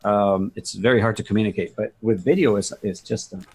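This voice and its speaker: Gravelly low-voiced man explaining software. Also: fairly even intonation, quick pace. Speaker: guest demonstrating software. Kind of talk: casual software tutorial. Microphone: video call.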